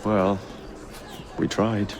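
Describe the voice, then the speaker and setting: Young English game rogue: deep, flippant, breezy, sardonic. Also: male voice, flat delivery, extremely slow, background noise. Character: cocky, flippant young male gang-leading rogue. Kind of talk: video game cutscene acting.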